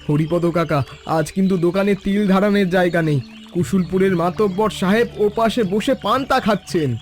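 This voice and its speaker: A man voicing a loyal, encouraging village neighbour in an animated story. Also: high voice, a little fast, lightly acted. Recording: voice over background music.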